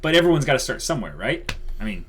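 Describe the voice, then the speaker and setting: A man's voice: medium pitch, big pitch swings, fast talker, a bit bright, slight background noise. A man hosting a channel, talking casually to viewers in a wordy, explanatory way full of qualifiers. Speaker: channel host.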